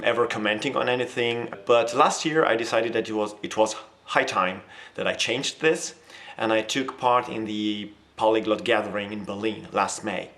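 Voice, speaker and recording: An Italian man with a somewhat low voice talks to camera in a halting, unhurried way. He pauses often and fills the gaps with "uh" and "um", restarting his sentences. He sounds like a polyglot language teacher recording a personal video. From Italy. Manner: hesitant, many fillers and restarts, with soft laughs. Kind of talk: casual talk to camera.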